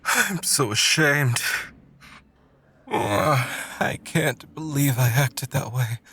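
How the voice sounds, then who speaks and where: A man's voice: medium pitch, slow pace, pushed and bright, slight background noise. A man playing a sensitive, flustered, bookish young poet in an audio drama, speaking in an earnest, wordy way. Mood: ashamed, timid. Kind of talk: visual novel audio drama acting. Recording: music behind the voice.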